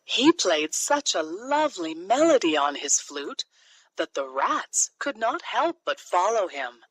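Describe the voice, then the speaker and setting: Slow, animated woman storyteller. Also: mid-range voice, low-quality recording. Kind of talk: scripted children's fairy tale narration.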